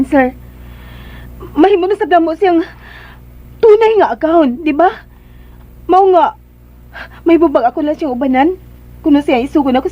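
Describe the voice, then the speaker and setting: A woman playing a meek young household helper in a radio drama, on a dull, noisy recording. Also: high-pitched. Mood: explaining, pleading.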